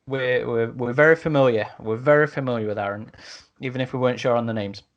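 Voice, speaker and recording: A man hosting a casual podcast chat, with a halting, rambling delivery. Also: mid-range voice, dull audio. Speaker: podcast host.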